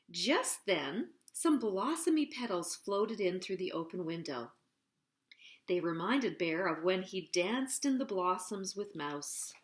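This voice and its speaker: A woman hosting children's storytime, narrating a picture book in an expressive, deliberate, sing-song way. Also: medium pitch.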